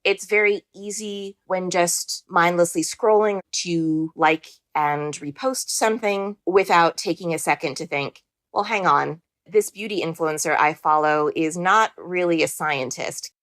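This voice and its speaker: Female expert interviewee, explanatory remarks, slightly low pitch, dry recording. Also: measured pace, fairly bright tone, dry recording.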